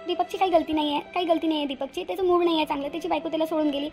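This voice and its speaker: A woman voices a clingy young schemer in a homemade cartoon. Her speech is high and fast, sweetly coaxing, with phrases said over again. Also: fairly even intonation, darkish tone, faint music behind, lightly acted. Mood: meek, pleading. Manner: coaxing, pleading, repetitive.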